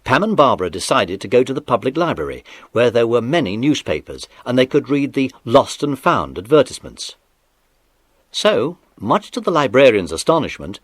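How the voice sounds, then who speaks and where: A man's voice: medium pitch, dry recording. A man narrating a scripted children's story as the narrator of an audio drama.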